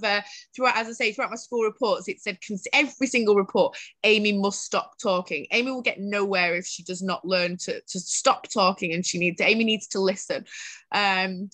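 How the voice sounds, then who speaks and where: A woman, a podcast guest telling her story in a chatty, animated way. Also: mid-range voice, no room sound. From Greater Manchester, England. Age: 30s. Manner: chatty, animated, with frequent restarts. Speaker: podcast guest, startup founder.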